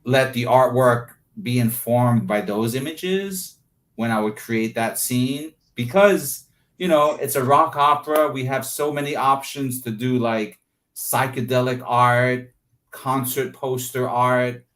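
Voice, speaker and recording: A man's voice: medium pitch, slow, fairly bright tone. A man being interviewed as an artist, talking casually and thoughtfully about his creative process, often searching for words and peppering in "like" and "you know".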